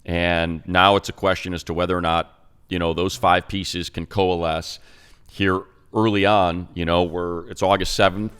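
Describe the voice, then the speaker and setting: A man with a low, smooth and resonant voice talks football on a team podcast. He runs through numbers fast, cuts himself off and circles back to his point in a loose, casual way. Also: little pitch movement, fairly bright tone. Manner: fast, stat-heavy, self-interrupting. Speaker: radio play-by-play announcer, co-host. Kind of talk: casual sports talk.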